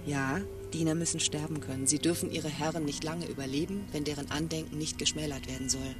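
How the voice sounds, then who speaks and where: A performer playing a courteous custodian program in a radio play, speaking in a formal, measured and solemn manner. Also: mid-range voice, steady tone, distant mic, music in the background, natural voice.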